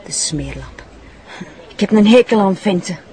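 A woman's voice: medium pitch, expressive, a little slow, warm tone, slight background noise, natural voice. A woman playing a bitter, defiant young runaway addict in a TV drama, with a Flemish accent and a coarse, contemptuous way of talking. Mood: bitter, scornful. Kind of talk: naturalistic TV drama acting.

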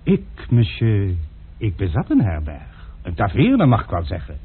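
A man's voice: lowish voice, huge pitch swings, measured pace, breathy edge, exaggerated voice. A man playing a fawning, hapless male servant and cook in a radio drama, obsequious and always calling his master monsieur. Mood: woeful. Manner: obsequious, says monsieur constantly. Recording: muffled phone-like old radio recording.

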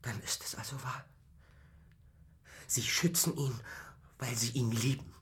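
A man's voice: mid-range voice, steady tone, a little slow, natural voice. An actor in a German radio play playing a passionate young man in love, intense, wounded and pleading. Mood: bitter, resigned. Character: passionate young male lover, desperate and wounded.

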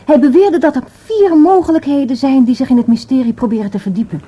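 An actress playing a tender, curious and teasing lover in an intimate Dutch radio drama. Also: female voice, high-pitched, fast, dark tone, breathy edge. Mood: pensive, teasing. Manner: intimate, playful, questioning.

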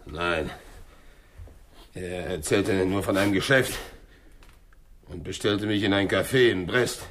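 An actor playing a bitter, vengeful French sailor and former convict in a 1960s German radio crime drama, telling his story haltingly and with feeling. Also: deep voice, fairly bright tone, slight background noise. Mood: sombre recounting. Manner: halting, stammering, emotional storytelling.

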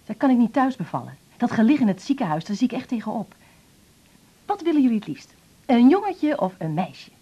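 A woman's voice: on the high side, big pitch swings, a little fast, mellow, husky. An actress playing a blunt, spiteful pregnant woman in a radio drama, confrontational and curt. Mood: defiant, needling. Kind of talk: naturalistic 1980s Dutch radio drama acting. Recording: dull, muffled radio recording.

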